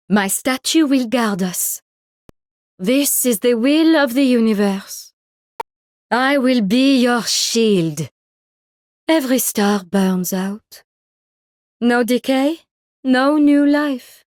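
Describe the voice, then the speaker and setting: A woman's voice: fairly high pitch, a little mellow, dry recording, studio quality. An actress playing an imperious, detached cosmic being in a video game, solemn and grandiose in her declamation. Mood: stately, then commanding. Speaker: game character voice.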